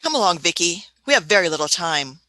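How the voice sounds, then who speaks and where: A woman's voice: fairly low pitch, big pitch swings, fast talker, pushed and bright. A woman voicing an elderly female time traveler in a fan radio play, shrewd and fussy, often hesitating with "uh" and "hmm".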